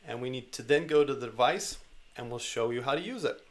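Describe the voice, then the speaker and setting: A man's voice: mid-range voice, animated, fairly quick. A man narrating an instructional screencast as the presenter, guiding the listener step by step. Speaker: tutorial presenter.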